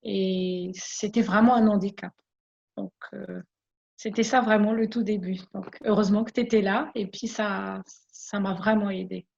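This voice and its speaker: A woman's voice: medium pitch, fairly even intonation, measured pace, gravelly, darkish tone, highs cut off. A woman giving an interview about her business in an earnest way, often repeating words as she searches for them. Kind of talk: casual conversational testimony.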